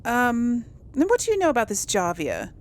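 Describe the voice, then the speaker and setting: A woman's voice: on the high side, huge pitch swings, normal speed, slight background noise. A woman hosting a casual role-play let's play, reacting and thinking aloud in a hesitant way. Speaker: gaming channel host. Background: game music and sounds.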